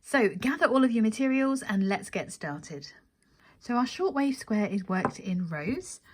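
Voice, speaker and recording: A woman's voice: fairly high pitch, lively intonation, unhurried, darkish tone. Woman giving step-by-step craft instructions. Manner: explanatory, counting steps aloud. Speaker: crochet tutorial host.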